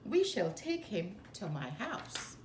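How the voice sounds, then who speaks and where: A woman playing a gentle, simple, kindly male teddy bear in a children's storybook, earnest and mild. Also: mid-range voice, very animated, slow pace, echoey room, a little hiss or hum. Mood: decided.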